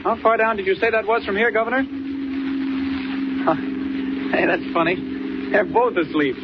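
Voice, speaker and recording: An actor playing an alert newspaper reporter in 1940s radio style, urgent and clipped, on a noisy old recording. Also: male voice, high voice, moderate pace, highs cut off, close to an everyday voice. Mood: brisk, alert. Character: alert, brisk young male newspaper reporter.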